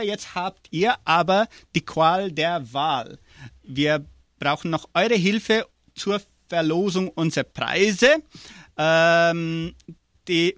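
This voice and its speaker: A man's voice: high voice, fairly bright tone, no echo, studio quality. A man hosting a live interview and prize draw, chatty and upbeat with frequent hesitations. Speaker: radio host.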